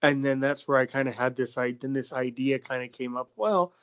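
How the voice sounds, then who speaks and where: A man's voice: mid-range voice, a little fast, a bit bright, smooth, lo-fi sound. Rambling young man hosting a podcast. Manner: rambling, many fillers and restarts. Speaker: podcast host. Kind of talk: casual podcast interview.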